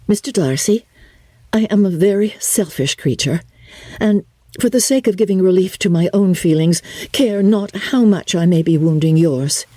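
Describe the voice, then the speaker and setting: A woman acting a spirited, earnest young gentlewoman, polite and emphatic, in a dramatic reading of a classic novel. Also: on the low side, normal speed, no room sound, pitched above the performer's own voice, natural voice. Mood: earnest, nervous.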